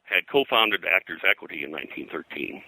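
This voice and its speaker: A man's voice: low-pitched, sing-song, brisk, pushed and bright, dry recording, poor audio. An older man being interviewed as a historian, telling anecdotes in a rambling, hesitant way full of digressions. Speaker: pop culture historian, interview guest.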